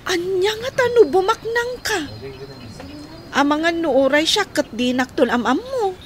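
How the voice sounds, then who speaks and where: A woman's voice: extremely high pitch, animated, a little slow, slight background noise. A woman playing an inquisitive, teasing young sweetheart in a radio drama. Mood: curious.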